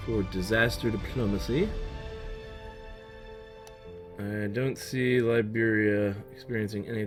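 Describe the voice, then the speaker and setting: Hoarse male gaming host, musing aloud, relaxed play-along commentary. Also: on the low side. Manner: thinking aloud, musing while playing. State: losing his voice. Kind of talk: casual let's-play commentary.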